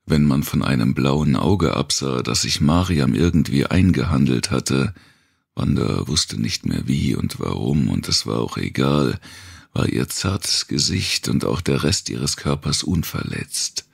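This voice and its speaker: A man narrating a dark thriller audiobook in a gravelly, husky voice, measured and grave. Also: very deep voice, no room sound, studio quality.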